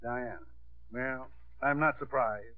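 A man's voice: mid-range voice, fairly even intonation, a little slow, natural voice. An actor playing a blunt, nosy old grandfather with a dry, needling manner in a 1950s radio drama. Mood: wry. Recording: narrow, tinny 1950s radio broadcast.

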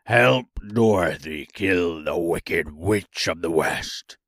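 A man voicing a huge, menacing beast in a storybook, with a gruff, growling roar and a slow, commanding delivery. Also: low voice, a bit bright, no room sound, studio quality, voice pushed down, exaggerated voice. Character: huge terrible male beast, imperious and menacing.

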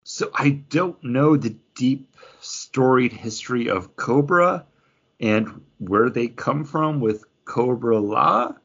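A man's voice: mid-range voice, expressive, slow pace, creaky, a bit bright. Dull-sounding man chatting on a podcast. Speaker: podcast host reviewing beer and comics. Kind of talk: casual chat and informal reviews.

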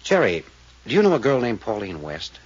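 Brisk, commanding male investigator. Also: on the low side, wildly swooping pitch, breathy edge, full character voice. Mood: interrogating. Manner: clipped, interrogating, authoritative. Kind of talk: 1940s radio acting. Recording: muffled old-time radio recording.

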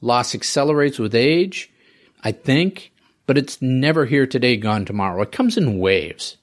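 A man's voice: mid-range voice, animated, measured pace. A man in his fifties hosting a podcast alone, explaining in a wry, conversational way and often asking himself questions. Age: 50s. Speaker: podcast host. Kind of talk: solo scripted podcast monologue.

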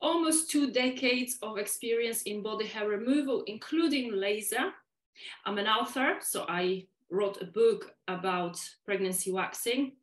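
A woman from Poland with a Polish accent, speaking English as a second language, who talks deliberately slowly as a podcast guest. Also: medium pitch, echoey room. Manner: deliberately slowed, careful speech. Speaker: guest, waxing specialist. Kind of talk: podcast interview.

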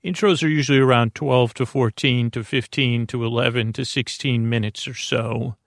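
A man hosting a sleep podcast in a meandering, halting, gently silly monologue that keeps trailing off and circling back. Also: mid-range voice, steady tone, gravelly, no room sound, clean studio sound. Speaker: podcast host.

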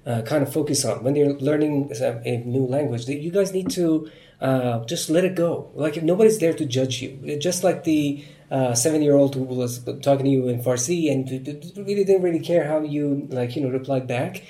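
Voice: medium pitch, normal speed, warm tone. A podcast host from Iran chatting casually and encouragingly, agreeing emphatically. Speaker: podcast host, language teacher. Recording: roomy echo.

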